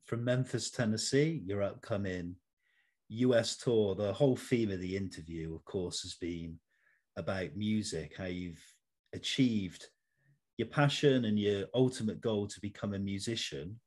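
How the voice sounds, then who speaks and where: A man hosting an interview, putting prepared questions in a measured, fairly formal way. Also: fairly low pitch, a little mellow. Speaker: interview host.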